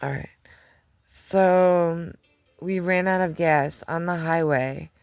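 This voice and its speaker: Low, gravelly woman giving a tarot reading. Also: fairly even intonation, slow, a little mellow, no echo, studio quality, soft background music. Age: 30s or older. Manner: chatty, rambling storyteller; laughs often. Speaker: tarot reader. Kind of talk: casual monthly tarot reading.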